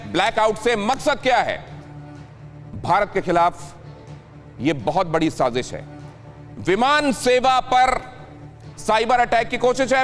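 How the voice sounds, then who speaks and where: A man with an Indian accent presenting television news dramatically and emphatically, repeating phrases for effect. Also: high-pitched. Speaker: TV news anchor. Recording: loud dramatic music bed under voice. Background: news music.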